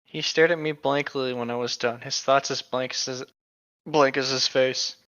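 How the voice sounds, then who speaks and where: A bright, forward voice of middling pitch, in casual chat and read-aloud among friends. The microphone is dull and a bit muffled, with a dry sound. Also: fairly even intonation, normal speed, no room sound. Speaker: co-host of a comedic group read-along.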